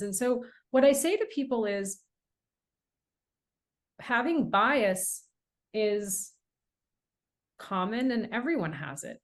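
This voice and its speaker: A middle-aged American woman, an author and guest on a podcast interview, telling stories in an earnest, emphatic way. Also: medium pitch. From United States. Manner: earnest, emphatic, animated storytelling.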